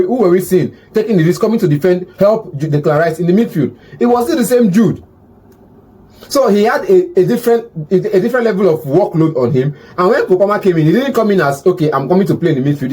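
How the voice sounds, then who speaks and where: Husky man arguing football hard. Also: high-pitched, lively intonation, quick pace, darkish tone. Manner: argumentative, repeats words while building a point. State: hoarse, says he has lost his voice. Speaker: football pundit. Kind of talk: heated debate.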